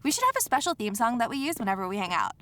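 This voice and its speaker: A woman's voice: a little high, big pitch swings, rapid-fire, pushed and bright, slight background noise. A woman playing a perky, pushy, scheming young sidekick in a comedic audio drama, chirpy, slangy and playful. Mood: gleeful.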